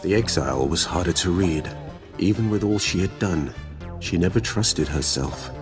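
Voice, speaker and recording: A very low-pitched, ancient Sith lord from a video game, proud and grim. He speaks formally and solemnly, with cold disdain, over background music. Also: animated, normal speed. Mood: solemn recounting. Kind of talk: dramatic video game voice acting.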